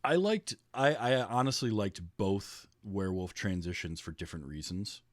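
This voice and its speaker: A man's voice: on the low side, animated, normal speed, no room sound, clean studio sound. A man talking casually about films as a podcast host. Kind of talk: casual movie discussion.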